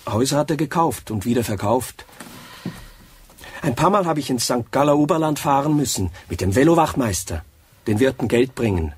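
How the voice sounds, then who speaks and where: A man's voice: mid-range voice, expressive, normal speed, natural voice. Man acting timid, downtrodden fellow, noisy recording. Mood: plaintive. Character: timid, odd, downtrodden young man confessing his troubles. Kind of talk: radio drama acting. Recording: noisy radio drama recording.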